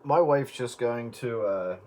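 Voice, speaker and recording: A man's voice: medium pitch, measured pace. A man chatting casually over a radio link. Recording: radio link with loud static, clicks.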